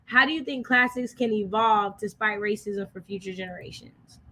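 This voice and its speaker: A woman's voice: medium pitch, moderate pace, pushed and bright, slight background noise. A woman with an American accent co-hosting a casual live book discussion, speaking emphatically and repeating phrases to drive points home. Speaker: co-host of a book discussion livestream.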